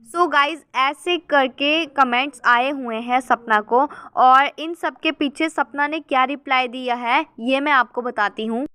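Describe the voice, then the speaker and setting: High bright-voiced woman vlogger. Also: unhurried, hardly any pauses, clear, resonant tone, dry recording. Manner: conversational, keeps addressing viewers as guys. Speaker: gossip channel host. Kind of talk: chatty commentary reading viewer comments.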